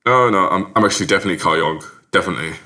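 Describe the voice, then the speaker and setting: A man's voice: low-pitched, racing, sharp tone. A man with a British accent playing a bumbling, polite, evasive character in a comedy sketch, stammering and repeating himself. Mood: evasive, breezy.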